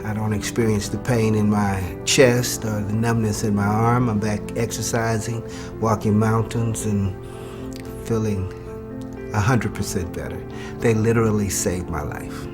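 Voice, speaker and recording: A man in his fifties gives a patient's testimonial, hesitating with small fillers. His voice sits a little low. Music plays clearly behind him. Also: flat delivery, a little slow. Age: 50s. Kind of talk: interview testimonial.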